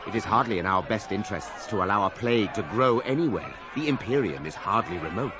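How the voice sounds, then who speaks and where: An actor plays a haughty mage standing guard in a fantasy game. His lowish voice is formal and measured, set against a noisy crowd. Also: animated, lightly acted. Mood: cool, haughty. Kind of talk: video game voice acting.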